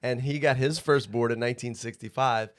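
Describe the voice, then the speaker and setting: A man's voice: mid-range voice, steady tone, brisk. Upbeat chatty male podcast host. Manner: upbeat, chatty, laughs often. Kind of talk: casual surf podcast interview. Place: studio.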